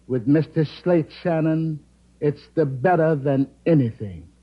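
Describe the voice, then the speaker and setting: A man's voice: fairly high pitch, a little slow. A man playing a loyal, easygoing Caribbean singer and sidekick who speaks deferentially in broken English, 1950s radio drama style. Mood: fond, reflective. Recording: dull, lo-fi vintage radio broadcast.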